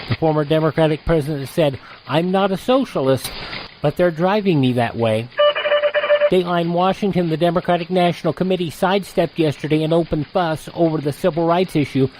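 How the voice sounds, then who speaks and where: A man's voice: a little high, fairly quick, a little mellow, slight background noise, poor audio. A man reading scripted news headlines as a radio presenter.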